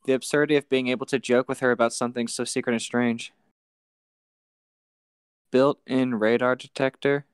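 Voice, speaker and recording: A man's voice: mid-range voice, little pitch movement, normal speed, pauses often, clear, resonant tone, no echo, studio quality. A man co-hosting casually, reading a book aloud with some banter among friends.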